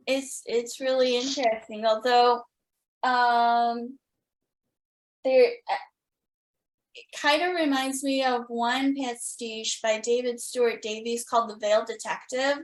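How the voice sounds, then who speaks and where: A woman hosting a podcast, chatting casually and enthusiastically with her guests. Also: a little high. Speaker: podcast host interviewing guests. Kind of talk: casual fan interview chat. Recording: roomy, echoing sound.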